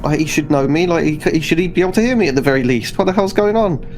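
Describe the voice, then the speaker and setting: A man playing a tabletop role-playing game, improvising in character in a halting, repetitive, earnest way. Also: on the high side, over music.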